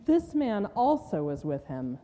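A woman's voice: mid-range voice, big pitch swings, unhurried, warm tone. A woman reading an indignant accuser in a church scripture drama. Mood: accusing. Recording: reverberant church hall.

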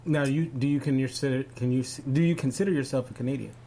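A man's voice: medium pitch, quick pace, dark tone. Hesitant male interview host. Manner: hesitant, many fillers. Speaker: host of an online show. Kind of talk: casual interview. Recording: faint hum under the voice.

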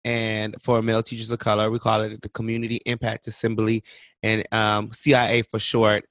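A man's voice: lowish voice, monotone, normal speed, fairly bright tone, dry recording. Informal male podcast co-host, dry close sound. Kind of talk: casual podcast chat.

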